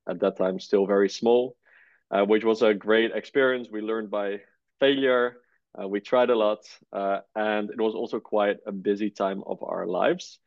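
A man's voice: fairly low pitch, darkish tone, dry recording. Easygoing young man, dull audio. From Netherlands. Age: 20s. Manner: easygoing, many "uh" fillers. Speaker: guest, startup co-founder. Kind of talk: relaxed conversational interview.

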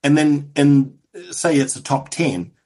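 A New Zealand man talking as a guest in a casual interview, his voice husky and a little rough. He speaks in halting runs, restarting phrases and leaning on fillers as he works through his points. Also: on the high side, animated, darkish tone. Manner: halting, frequent restarts and "you know" fillers. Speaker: television producer, guest.

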